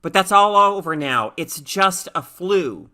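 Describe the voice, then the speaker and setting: A woman's voice: on the low side, lively intonation, unhurried, pushed and bright. A woman voicing an anxious, bewildered girl in a story, with a plaintive, worried manner. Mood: puzzled, uneasy. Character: anxious sickly girl, bewildered and self-doubting.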